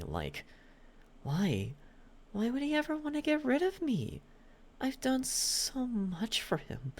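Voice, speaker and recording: A performer playing a clingy, possessive yandere demon butler in an intimate ASMR roleplay, coaxing in halting, repeated words that slip into breathy whispers. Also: medium pitch, a little mellow, exaggerated voice. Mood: wounded, indignant.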